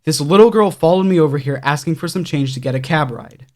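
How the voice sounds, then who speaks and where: A man's voice: on the high side, quick pace, darkish tone, a little hiss or hum, lightly acted. An actor playing a creepy, predatory man who poses as kindly in a horror audio drama. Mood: alarmed, protesting.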